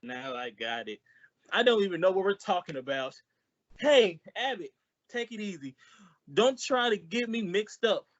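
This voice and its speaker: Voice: mid-range voice, expressive, moderate pace, highs cut off, natural voice. A performer playing a bumbling, easily confused male sidekick in an old-time radio comedy, full of wisecracking retorts. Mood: baffled.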